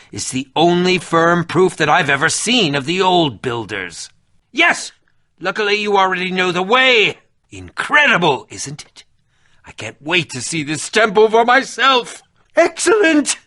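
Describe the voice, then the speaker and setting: A man voicing an excitable, eccentric and pompous adventurer-scholar for a video game, theatrical and grandiose, with dramatic pauses and sudden outbursts. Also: high voice, dry recording, clean studio sound, heavily acted. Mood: gleeful excitement. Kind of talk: animated video game character acting.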